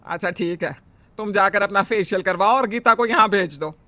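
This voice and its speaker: A man's voice: high voice, normal speed, sharp tone. A man voicing a fussy, bossy middle-aged mother-in-law who scolds and complains. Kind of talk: Hindi family drama voice acting.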